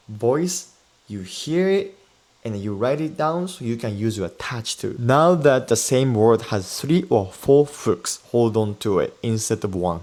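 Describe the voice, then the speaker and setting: A man's voice: fairly low pitch, darkish tone. A Japanese man, a native speaker with a Japanese accent, explains study tips in an earnest step-by-step video lesson. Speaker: Japanese teacher.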